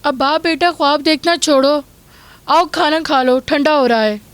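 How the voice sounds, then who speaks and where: Woman acting a high-voiced, careworn working wife. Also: normal speed, close to an everyday voice. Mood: fondly chiding. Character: poor, hardworking, caring housemaid wife and mother. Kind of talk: radio drama acting.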